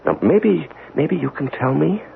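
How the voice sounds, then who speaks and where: An actor playing a dogged, anxious investigator with a halting, probing delivery in a 1970s radio drama. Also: male voice, fairly high pitch, big pitch swings, gravelly, full character voice. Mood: gentle, urgent. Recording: tinny, faintly hissy 1970s radio broadcast.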